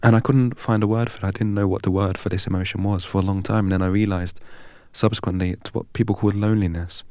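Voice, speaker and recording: A man from England, a guest in an interview, telling his story in a measured, deliberate way. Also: fairly low pitch, fairly even intonation, mellow, no echo. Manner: measured and deliberate, recites long strings of numbers evenly.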